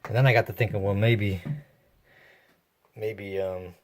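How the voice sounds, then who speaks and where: A man narrating his own hands-on repair work casually and unscripted, thinking aloud in a halting way. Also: fairly low pitch, steady tone. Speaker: host of a radio repair vlog.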